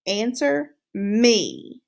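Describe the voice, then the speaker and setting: Woman voicing an animated, smug little hamster. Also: a little high, very slow, creaky, heavily acted. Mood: smug contentment. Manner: boastful, self-satisfied, exclamatory. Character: smug, excitable, easily disgusted male pet hamster. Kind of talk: storybook read-aloud, diary narration.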